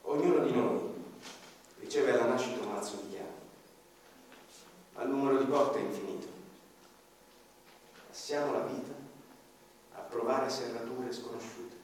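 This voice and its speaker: A solemn male narrator gives a dramatic radio-play monologue as a dead man looking back on his life. His voice booms in a long, echoing hall, set back from the mic, with faint hiss underneath. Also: darkish tone. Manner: solemn, heavy with pauses, swells then sinks low. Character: dead man telling his own story. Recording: echoey hall, voice far from the mic. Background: violin music.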